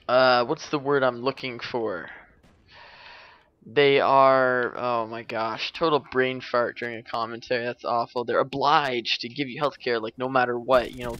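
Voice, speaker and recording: A man's voice: medium pitch, fairly bright tone. A young adult man from Canada giving casual, rambling commentary over gameplay, chatty and full of fillers and restarts. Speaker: gaming commentator.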